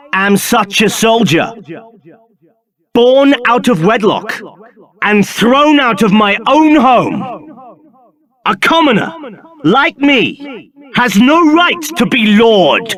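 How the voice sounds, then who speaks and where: An arrogant, bitter fantasy game villain with a high male voice. His delivery swings widely in pitch and takes its time, haughty and declamatory, as befits someone hungry for power. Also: a bit bright, full character voice. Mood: bitter, indignant. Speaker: video game voice actor. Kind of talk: theatrical video game character acting.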